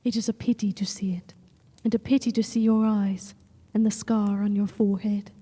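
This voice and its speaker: A woman playing a young, devoted and gently teasing gothic heroine in an audio drama, with composed, formal, old-fashioned phrasing. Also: mid-range voice, flat delivery, moderate pace, slightly rough, lightly acted. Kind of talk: audio drama acting and first-person narration. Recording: long hall-like reverb.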